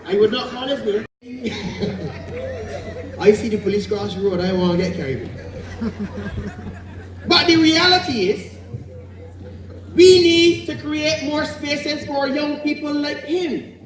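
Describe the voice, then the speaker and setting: A man's voice: very high-pitched, takes it slowly, pauses often, some chatter behind. A man with a Barbadian accent giving an impassioned, folksy political speech at an outdoor meeting. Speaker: political candidate. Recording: echoey, distant rally sound.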